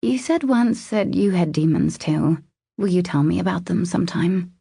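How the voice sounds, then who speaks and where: A woman narrator voicing a guarded, vulnerable woman in an audiobook romance, speaking softly and hesitantly as she confides. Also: on the low side, normal speed, vocal fry, slightly rough, no echo, studio quality. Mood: tender, afraid. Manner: hesitant, confessional, soft. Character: vulnerable, guarded young woman opening up to her lover.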